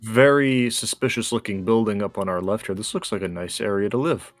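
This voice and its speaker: A man's voice: lowish voice, a little fast, no echo. A man co-playing on a gaming show, giving casual commentary in wordy, explanatory phrasing. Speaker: guest co-player on a gaming show.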